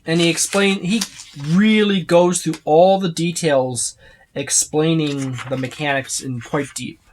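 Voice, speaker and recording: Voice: mid-range voice, fairly even intonation, unhurried, slight background noise. A reviewer talking casually and unscripted through a tabletop game book, rambling along and often listing items one after another. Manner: rambling, repeats and lists items.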